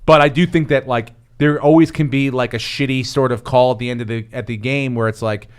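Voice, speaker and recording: A male podcast host in loose sports banter. He talks in a rambling way, restarting sentences and needling his guests, with a voice at a middling pitch. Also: steady tone, fairly quick, clear, resonant tone.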